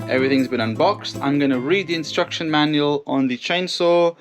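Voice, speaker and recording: A man's voice: on the high side, fairly bright tone. A man chatting casually outdoors as he vlogs, explaining his work as he goes and poking fun at himself. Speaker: vlogger. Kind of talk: casual vlog narration. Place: outdoors on a rural plot.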